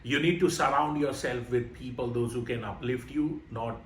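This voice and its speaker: A man's voice: mid-range voice, steady tone, normal speed. A man in his late thirties from India, co-hosting a motivational podcast in an earnest, emphatic way that repeats phrases. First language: Indian languages. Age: 30s. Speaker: podcast co-host. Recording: roomy, distant mic with faint noise.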